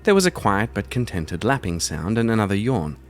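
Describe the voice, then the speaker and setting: A man with a fairly low voice narrates a solo role-playing show as its host. He shifts between storyteller-like reading and conversational play commentary, with faint music underneath. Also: very animated, fairly quick. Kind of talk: scripted narration mixed with casual play commentary.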